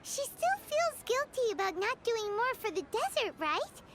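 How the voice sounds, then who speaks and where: A woman voicing a tiny, childlike fairy companion in a video game, squeaky, chatty and cheeky, with an exclamatory delivery. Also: sing-song, a little slow, very noisy, heavily acted. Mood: opinionated. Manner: speaks of self in third person, exclamatory.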